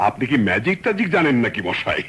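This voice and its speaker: A man's voice: fairly high pitch, sing-song, fast talker, fairly bright tone, breathy edge, heavily acted. An actor playing an older businessman uncle who talks in a dry, skeptical way.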